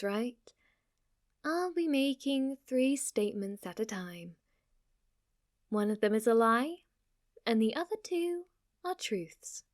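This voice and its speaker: A woman playing a smug, clingy, lovestruck witch in an ASMR roleplay, teasing and coy as she speaks to the listener. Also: on the high side. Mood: playful, confident. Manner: teasing, coy, overconfident, with giggles and asides.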